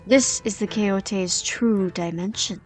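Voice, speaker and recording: Woman playing a slow, mysterious wise storyteller. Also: medium pitch, faint music behind, lightly acted. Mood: calm, knowing. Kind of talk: fantasy audio drama acting.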